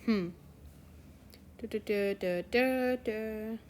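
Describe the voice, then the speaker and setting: A woman's voice: medium pitch, extremely slow, darkish tone, slight background noise. A teenage girl hosting her own channel, chatting casually to viewers in a rambling way with lots of fillers and self-corrections. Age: teens. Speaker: fanfiction channel host.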